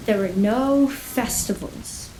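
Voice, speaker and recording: A woman's voice: a little high, slow, mellow, slight background noise. A woman reading atmospheric, scripted story narration for a radio play. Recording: echoey room with rain sounds.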